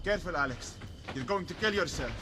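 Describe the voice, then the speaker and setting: A man playing a gruff, wary travelling companion in an audio adventure game. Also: fairly high pitch, animated, brisk, noisy background, natural voice. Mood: exasperated. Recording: loud music and outdoor ambience behind.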